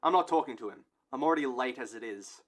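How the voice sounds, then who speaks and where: A man's voice: mid-range voice, lively intonation, fast, natural voice. A man playing a stubborn, impatient airport security guard in an audio play, curt and demanding. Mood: impatient, annoyed. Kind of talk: amateur audio play acting.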